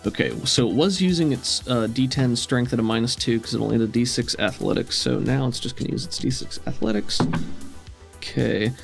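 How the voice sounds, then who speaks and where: A man's voice: medium pitch, gravelly. A man running a tabletop game as game master, talking through rules casually and encouragingly. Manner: encouraging, affirming.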